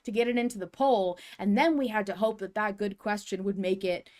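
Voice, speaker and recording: Animated woman chatting on a podcast. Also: medium pitch, brisk. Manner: animated, emphatic, rambling, informal with swearing. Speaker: podcast co-host. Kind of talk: casual fan podcast chat.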